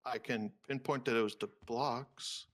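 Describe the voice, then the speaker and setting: A man's voice: medium pitch, steady tone, unhurried, natural voice. A man playing a stubborn witness in improvised roleplay, giving clipped, evasive answers. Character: guarded, stubborn male witness on the stand.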